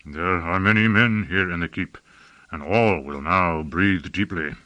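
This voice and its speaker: A man's voice: low-pitched, sharp tone, no room sound, highs cut off, pitched below the performer's own voice. A man voicing a grave, weary senior sorcerer with heavy sarcasm and resignation in an audiobook fantasy. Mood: grim, resigned. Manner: heavy sarcasm, slow sighing gravity.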